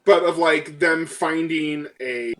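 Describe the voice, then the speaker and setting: A man with a slightly high voice talks casually as a player at a tabletop game. He thinks aloud, breaking his sentences up as he goes. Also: slow, fairly bright tone.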